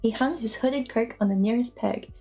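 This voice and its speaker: A woman's voice: a little high, normal speed, warm tone. A woman streamer reading a storybook aloud as a dramatic narrator. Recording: music playing behind the voice.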